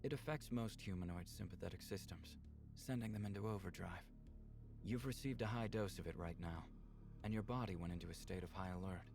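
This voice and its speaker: A man voicing a gentle, earnest alien man from a visual novel, measured and explanatory in manner. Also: music in the background. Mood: calm, reassuring. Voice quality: low, gravelly.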